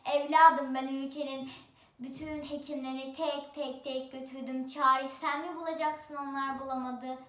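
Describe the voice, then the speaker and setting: A kindly poor farmer from a folk tale, acted by a female storyteller, weary and doubtful. The voice is very high for the part and rings in a roomy space, a little off the mic. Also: level tone, normal speed, distant mic, natural voice.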